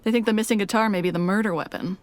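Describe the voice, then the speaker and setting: An actress playing a curious, frank office colleague in an audio drama. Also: female voice, medium pitch, steady tone, racing, lightly acted. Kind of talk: naturalistic audio drama acting.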